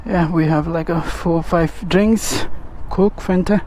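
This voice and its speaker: A man's voice: a little high, fairly even intonation, takes it slowly, darkish tone, a little hiss or hum. A young adult man whose first language is Nepali, a food delivery rider vlogging casually on his motorbike, chatty and peppering his talk with "guys" and "yeah?". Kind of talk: casual vlog chat while riding. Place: outdoors on city streets, on a motorbike.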